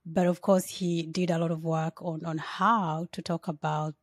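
A woman who hosts the interview, asking questions in a halting way with frequent restarts and self-corrections. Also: sits low, level tone, no room sound, studio quality. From Uganda. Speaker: interview host. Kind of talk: conversational interview.

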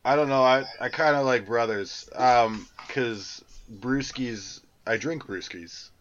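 A man's voice: medium pitch, slow, fairly bright tone, lo-fi sound, a little hiss or hum. A man co-hosting a podcast in casual chat, telling crude rambling stories. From Canada. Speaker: podcast co-host.

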